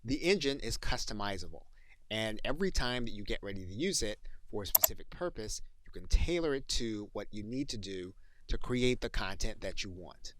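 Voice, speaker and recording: A man narrating a software tutorial as a course instructor, explaining in measured, orderly phrases. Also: fairly low pitch, expressive.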